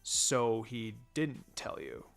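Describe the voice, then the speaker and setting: Man as a teasing young ninja guy, casual, probing, slow-paced, story reading, music behind. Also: fairly low pitch, very animated, gravelly, a bit bright, pitched below the performer's own voice, exaggerated voice.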